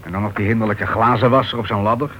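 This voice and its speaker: A man's voice: fairly low pitch, fairly even intonation, fast, a bit bright, close to an everyday voice. An actor playing an irritable, self-important male writer in a radio play, with an impatient, grumbling manner. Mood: grumbling, sarcastic. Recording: dull, noisy old radio play recording.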